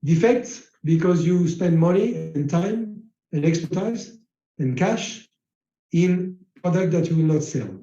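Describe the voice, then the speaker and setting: French-native male lecturer, mellow tone, halting delivery with fillers, echoey room. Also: high-pitched, lively intonation, highs cut off. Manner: slow, halting, many uh fillers. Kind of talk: slide-based lecture.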